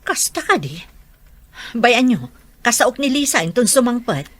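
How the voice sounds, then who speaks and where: Animated, concerned middle-aged aunt. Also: female voice, on the high side, a little slow, no room sound, exaggerated voice. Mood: concerned, probing. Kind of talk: radio drama acting.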